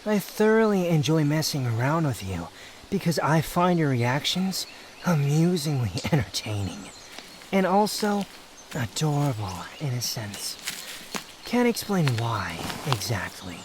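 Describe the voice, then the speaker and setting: A man playing an aloof, proud young wanderer in an intimate roleplay audio drama, guarded yet secretly fond, with a brooding, haughty delivery full of pauses. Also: fairly high pitch, big pitch swings, background noise. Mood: amused, teasing. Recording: outdoor nature ambience with insects. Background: insect and nature ambience.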